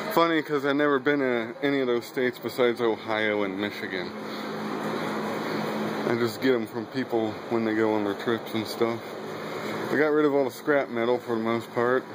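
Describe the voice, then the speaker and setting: A young man casually walking through his cluttered garage workshop, listing his fan collection in an offhand way with many fillers, filmed on a handheld phone. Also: lowish voice. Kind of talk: casual handheld walkthrough narration. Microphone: handheld phone camera. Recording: loud background hum and room noise.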